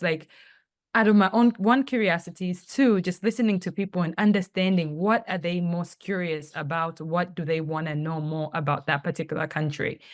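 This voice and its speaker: A young woman from Tanzania with a slightly low voice tells her story as a podcast guest. She talks casually, with many "like"s, and acts out little quotes from friends along the way. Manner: chatty storytelling, many fillers and acted-out quotes. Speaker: guest, travel company founder.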